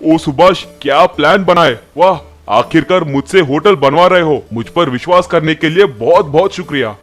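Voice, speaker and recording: A man plays a self-important cook in an animated story. The voice is a little high and full of himself, with faint music underneath. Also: moderate pace, natural voice. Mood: grateful. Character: cocky young male dhaba cook, self-important and opportunistic. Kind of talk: Hindi animated moral story dubbing.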